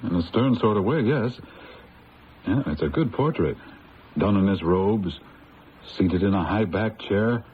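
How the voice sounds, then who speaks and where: An actor playing a curious, jovial American psychology researcher in an old-time radio drama, with an American accent. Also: male voice, fairly low pitch, animated, measured pace, pauses often. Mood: curious, amused. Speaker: actor playing narrator and lead. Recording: dull, noisy old radio recording, music.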